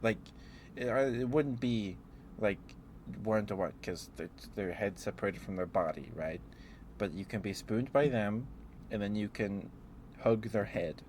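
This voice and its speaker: A man's voice: fairly low pitch, measured pace, a little mellow, slight background noise. A man doing a casual ASMR ramble as a livestreamer, playfully musing aloud and letting thoughts trail off.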